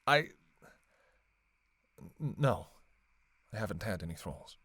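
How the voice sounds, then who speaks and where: A man's voice: lowish voice, lively intonation, a bit bright, slightly rough, no echo, heavily acted. A man playing a dry, sarcastic vampire. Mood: casual, evasive. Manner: snarky, playful needling with drawn-out hesitations. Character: sarcastic, teasing male vampire on a road trip. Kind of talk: casual roleplay monologue.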